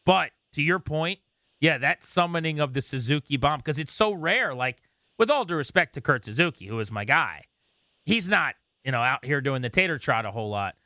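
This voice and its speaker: Bright-voiced American male sports host. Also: fairly high pitch, expressive, normal speed, dry recording, studio quality. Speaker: sports talk show host. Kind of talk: casual sports chat.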